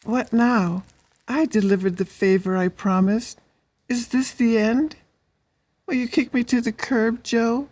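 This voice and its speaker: An insecure, loving woman played by an actress in an audiobook drama. She speaks very slowly and earnestly in a slightly high voice, recorded close and dry. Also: fairly even intonation, darkish tone, dry recording. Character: tender, insecure, devoted woman in love.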